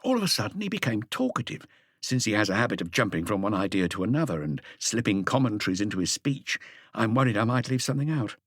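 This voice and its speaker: An earnest police inspector in his thirties, voiced by a male narrator, gives a careful, deferential report in a detective audiobook. His delivery is animated and faintly husky, in a dry recording. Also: lowish voice, fast, no room sound. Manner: detailed, deferential reporting with asides. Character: thirty-five-year-old male police inspector, earnest, eager, slightly awkward.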